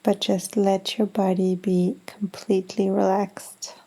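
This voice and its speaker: A woman leading a guided meditation in a gentle, soothing, unhurried manner with long pauses. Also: medium pitch, level tone, slightly rough. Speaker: yoga nidra meditation guide.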